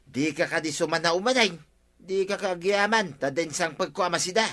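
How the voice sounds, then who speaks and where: An actor playing a defensive, grumbling village husband in an Ilocano comedy radio drama. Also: male voice, sits high, normal speed, sharp tone. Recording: faint background noise.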